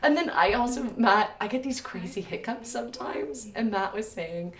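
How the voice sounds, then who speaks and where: A woman hosting a casual chat, with a naturally raspy voice and a chatty manner full of laughs and animated retellings. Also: a little high, moderate pace, a little hiss or hum. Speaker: podcast host. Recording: roomy echo, mic at a distance.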